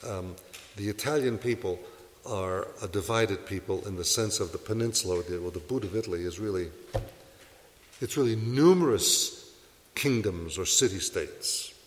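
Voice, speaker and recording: Earnest low-voiced man preaching. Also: moderate pace, long reverb tail. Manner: earnest, exhorting, asks rhetorical questions. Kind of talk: sermon to a congregation.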